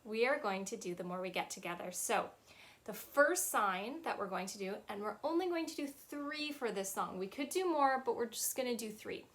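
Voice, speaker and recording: A woman's voice: fairly high pitch, expressive, normal speed, fairly bright tone. A woman in her thirties leading a children's storytime in a small room, talking in a sing-song, teacherly way and singing simple songs with hand gestures. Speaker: children's librarian. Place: small playroom with shelves, indoors.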